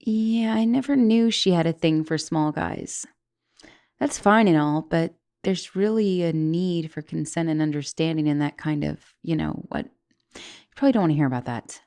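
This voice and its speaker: A young woman playing a guilty, caring giantess college student in an intimate ASMR roleplay, hesitant and stammering, with soft turns toward whispering. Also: low voice, moderate pace. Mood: awkward, curious. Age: young adult. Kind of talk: ASMR roleplay, intimate one-sided dialogue.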